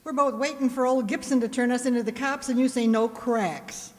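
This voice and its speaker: A woman's voice: a little high, a little fast, close to an everyday voice. An actress playing a crook's sarcastic, jittery, wisecracking female accomplice in an old-time detective radio drama. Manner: wisecracking, excitable. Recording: echoey hall with long reverb.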